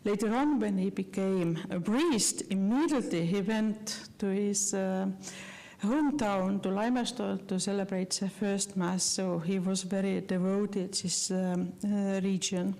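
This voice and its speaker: Woman speaker, non-native English, unhurried and soft-toned; earnest, halting lecture delivery. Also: mid-range voice. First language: not English. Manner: halting, many fillers and restarts; earnest, personal. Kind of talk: slide lecture.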